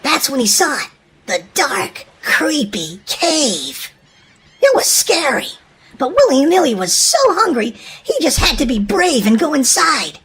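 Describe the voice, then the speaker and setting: Female children's story narrator, very high pitch, hugely expressive and dramatic, faint noise behind. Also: a little slow.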